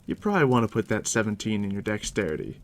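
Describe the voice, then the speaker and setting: A man doing a soft, deep-whispered ASMR roleplay as a game master, unhurried and reassuring, speaking directly to the listener up close. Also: sing-song. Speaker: game master guiding a new player.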